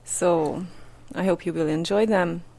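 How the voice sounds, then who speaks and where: A man's voice: high-pitched, fairly even intonation, measured pace, vocal fry, darkish tone. A man with a Hungarian accent hosting a podcast interview, speaking hesitantly with many fillers. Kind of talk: casual interview. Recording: reverberant room with faint noise.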